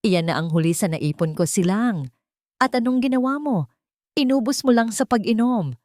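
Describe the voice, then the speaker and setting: A woman voicing a bitter, scornful woman in an audio story, with a shrill, accusing delivery. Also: lively intonation, normal speed, a little mellow, no echo, studio quality, close to an everyday voice. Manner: accusing, dramatic. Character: bitter, scornful young woman, resentful lover.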